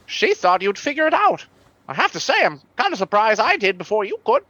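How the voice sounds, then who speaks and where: A woman's voice: medium pitch, very animated, brisk, fairly bright tone, no echo, lo-fi sound. A woman playing a slippery, pleading male con artist in a comic radio play, stammering and wheedling as the character talks.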